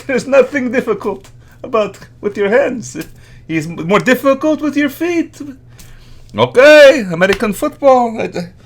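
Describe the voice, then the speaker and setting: A man playing a chatty, clueless Azorean Portuguese immigrant with a Portuguese accent and broken English, laughing often as he gets sidetracked. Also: sits high. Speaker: podcast guest. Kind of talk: comedy character monologue.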